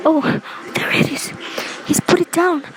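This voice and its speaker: A woman's voice: very high voice, fairly even intonation, a little slow, poor audio. A woman acting in a radio play as a nervous, demanding invalid wife, agitated and pleading. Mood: terrified, frantic. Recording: faint background noise.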